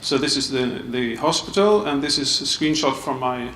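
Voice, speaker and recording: A man giving a conference panel talk, halting with restarts and many uhs. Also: medium pitch, lively intonation, fairly bright tone. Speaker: panel speaker, architect. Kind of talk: conference presentation. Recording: echoey hall, mic off at a distance, faint room noise.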